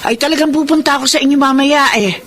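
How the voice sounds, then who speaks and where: A woman playing a caring, wise elderly mother and grandmother in an animated motherly way, in Filipino radio drama. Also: sits high, fast. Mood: welcoming. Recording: noisy radio drama recording.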